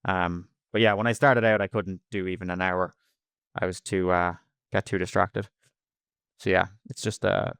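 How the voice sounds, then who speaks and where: A man's voice: low-pitched, a bit bright, smooth, no echo, studio quality. An Irish man giving casual interview answers in a plain-spoken, slangy, blunt way. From Ireland. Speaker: podcast guest, freelance cold caller.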